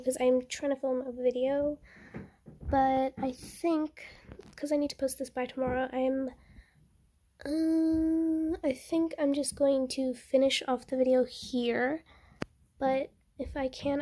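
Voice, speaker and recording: High, soft-toned chatty young gamer woman. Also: a little hiss or hum. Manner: chatty, rambling, easily excited. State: slightly stuffy nose from a cold. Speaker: gaming channel host. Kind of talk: casual game commentary.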